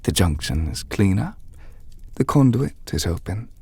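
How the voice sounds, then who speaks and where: A man playing a gentle, patient magic teacher in an ASMR audio roleplay, speaking softly and formally, close to the microphone, as he reassures an apprentice. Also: deep voice, very animated, unhurried, exaggerated voice. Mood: warm reassurance. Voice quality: soft, breathy, half-whispered. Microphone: close mic, dry.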